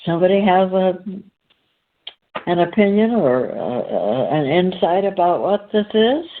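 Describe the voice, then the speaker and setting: Phone-like audio, very slow reading, halting phrases, deliberate host delivery. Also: mid-range voice, sing-song, noisy background. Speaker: host and reader. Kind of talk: slow read-aloud and discussion. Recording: phone-like, narrow-band call audio.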